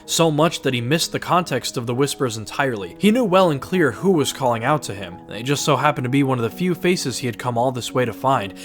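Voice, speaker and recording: A man's voice: medium pitch, lively intonation, a little fast, few pauses, faint music behind. A man narrating a scripted fantasy story in a dramatized audiobook style.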